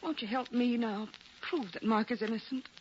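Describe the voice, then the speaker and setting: A woman's voice: fairly high pitch, normal speed, darkish tone, natural voice. An actress playing an earnest, anxious, imploring married woman in a 1940s radio drama. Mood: pleading. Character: anxious, pleading wife standing by her lover. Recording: muffled, hissy 1940s radio recording.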